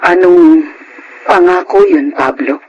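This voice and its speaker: An actress playing a gentle, hopeful, middle-aged mother, consoling and earnest, in a Filipino radio drama. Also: low voice, slow, highs cut off, a little hiss or hum. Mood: surprised.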